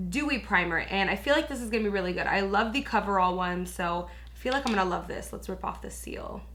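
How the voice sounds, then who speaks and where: A young woman with a bright, forward tone roughened by hoarseness talks casually through a beauty tutorial. She gushes and chats freely, filling her sentences with little asides to her viewers. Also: mid-range voice, lively intonation, a little fast, a little hiss or hum. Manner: gushing, chatty, lots of "like" and "you guys". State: raspy, hoarse voice. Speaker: beauty vlogger. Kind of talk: casual makeup tutorial chat.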